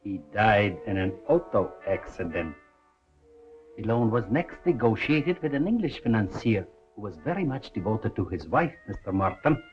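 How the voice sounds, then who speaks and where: Male villain, 1930s crime drama: low voice, calculating and formal, faint music, dull vintage sound. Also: very animated. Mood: cold menace. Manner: formal, measured, menacing. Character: cold, calculating villainous male foreign conspirator.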